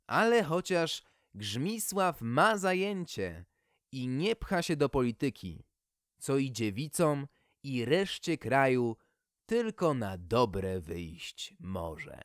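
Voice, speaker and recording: A man's voice: mid-range voice, very animated, takes it slowly, no echo, clean studio sound. A man reading a scripted fairy tale as a storyteller, with a wry delivery.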